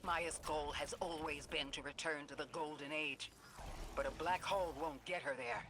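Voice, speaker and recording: A performer playing a grave, authoritative leader and strategist in a video game drama, speaking deliberately. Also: mid-range voice, steady tone, background noise, natural voice. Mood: commanding.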